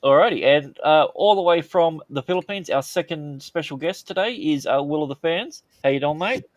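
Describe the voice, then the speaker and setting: A man's voice: a little high, lively intonation, moderate pace, dry recording. An Australian man hosting a casual comedy podcast, rambling with lots of fillers and crude jokes. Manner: rambling, many fillers, crude jokey banter. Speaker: podcast host.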